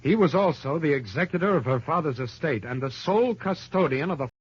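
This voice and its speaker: A man's voice: a little high, expressive, fairly quick, slightly rough. A man playing an earnest, anguished young accountant in an old radio detective drama, dramatic and insistent. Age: young adult. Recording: dull, muffled old radio recording.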